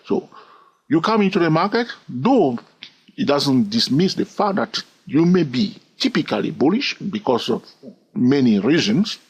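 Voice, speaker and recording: Animated husky male trading teacher. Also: fairly high pitch, unhurried. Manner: animated, repetitive, keeps asking "all right?". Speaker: trading instructor. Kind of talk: screen-recorded trading tutorial.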